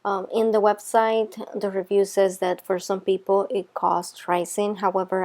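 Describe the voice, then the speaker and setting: A woman with a Salvadoran Spanish accent narrates a step-by-step craft tutorial. Her voice sits a little low and has a noticeable creak. She sounds like a maker presenting her own scripted how-to. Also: a little mellow. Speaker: craft video host, soap maker.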